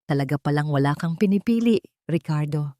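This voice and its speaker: A woman with a Filipino accent voicing a wronged, coldly determined businesswoman in a dramatic story, measured and resolute. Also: low voice, animated, mellow, dry recording, clean studio sound. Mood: quiet loathing.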